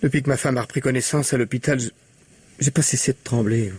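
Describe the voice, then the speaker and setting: A guilt-ridden husband from an old radio mystery, voiced by a man. He hesitates and stammers. His voice sounds husky. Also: mid-range voice, fast, a little mellow, no echo, close to an everyday voice. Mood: guilty. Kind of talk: 1950s radio drama acting.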